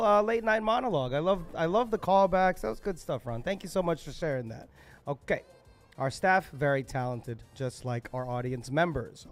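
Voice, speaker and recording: A man's voice: sits high, a bit bright. A man hosting an open mic, bantering casually and with profanity between acts. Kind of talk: hyping emcee banter.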